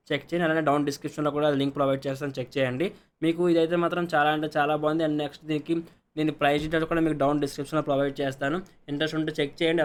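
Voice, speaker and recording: Guy doing a casual gadget review, talks super fast, slightly high voice, goes feature by feature. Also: clear, resonant tone. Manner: repetitive step-by-step explaining, mixing in English words. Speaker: product reviewer, channel host. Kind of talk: casual unboxing and review narration.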